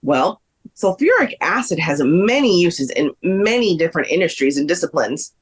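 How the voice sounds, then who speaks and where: A woman plays a female tour guide in a scripted read. She is polite and explanatory, with very animated intonation. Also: on the low side, normal speed, husky. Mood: explaining. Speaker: actor in a table read.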